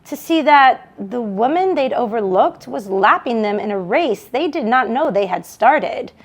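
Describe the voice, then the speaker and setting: A woman reading a novel aloud in an expressive storytelling style. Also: high voice. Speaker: bookshop read-along reader.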